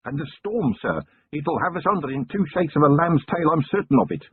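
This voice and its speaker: A man voicing a nervous, plump male chicken farmer who over-explains and says "sir" constantly, in a raspy voice. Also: a little high, very animated, a little fast, pitched above the performer's own voice, full character voice. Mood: anxious. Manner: pleading, over-explaining, keeps saying sir. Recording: muffled lo-fi narrow-band recording.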